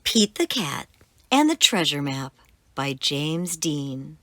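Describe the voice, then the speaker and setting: Children's storyteller, female: expressive and dramatic, slow-paced with lively pitch, dry sound. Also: no room sound. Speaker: children's storyteller and channel host. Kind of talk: expressive children's book read-aloud.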